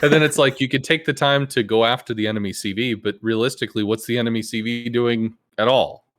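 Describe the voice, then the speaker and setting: A man hosting a casual gaming podcast, laid-back and profane, who jumps into high yelled voices when quoting other players. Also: fairly even intonation, fast talker, a little hiss or hum. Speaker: podcast host.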